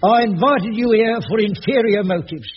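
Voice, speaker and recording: Actor as a pompous, put-upon caretaker, high voice, faint background hiss. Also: male voice, moderate pace, natural voice. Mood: flustered. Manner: flustered, stammering, pompous phrasing. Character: fussy, put-upon caretaker, flustered schemer. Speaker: Ramsay, caretaker. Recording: dull old radio broadcast with faint hiss.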